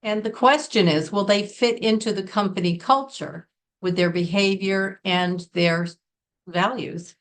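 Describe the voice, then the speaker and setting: A woman's voice: medium pitch, a little slow. Earnest American woman teaching business English. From Connecticut, United States. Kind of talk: scripted lesson to camera.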